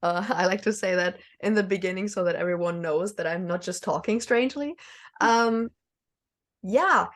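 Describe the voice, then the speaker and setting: A woman's voice: medium pitch, moderate pace. A woman from Germany with a German accent, talking as a podcast guest, hesitant with many fillers and small laughs. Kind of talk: casual conversation.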